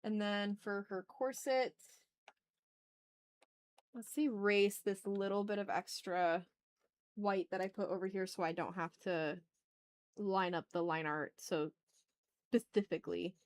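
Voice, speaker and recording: A woman's voice: mid-range voice, fairly even intonation, measured pace, long pauses. A woman chatting casually on an art livestream, thinking aloud with self-deprecating remarks and some swearing. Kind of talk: casual livestream chat while drawing.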